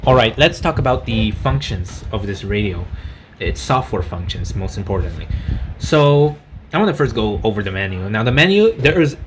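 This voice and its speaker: Man giving a halting review, noisy recording. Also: mid-range voice, a bit bright. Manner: halting, rambling, frequent self-corrections. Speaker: product reviewer. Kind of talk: casual unscripted product review.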